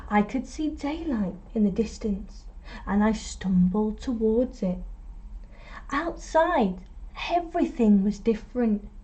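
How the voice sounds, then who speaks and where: Slow, high-voiced woman reading a storybook. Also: highs cut off. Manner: expressive storytelling with deliberate pauses and emphasis; prompts young listeners with questions. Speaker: teacher reading a picture book to children. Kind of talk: storytime read-aloud.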